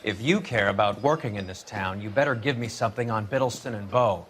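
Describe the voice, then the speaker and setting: An actor playing a crude, bullying 1940s vice detective, gruff and blunt. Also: male voice, on the low side, a little fast, fairly bright tone, natural voice. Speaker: police detective. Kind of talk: 1940s noir game acting.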